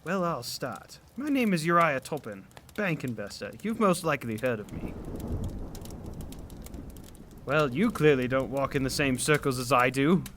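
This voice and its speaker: A man's voice: medium pitch, animated, moderate pace, few pauses, a bit bright, natural voice. A man playing a pompous, rude old banker who blusters and condescends in an amateur radio play. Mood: self-important. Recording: faintly noisy home recording.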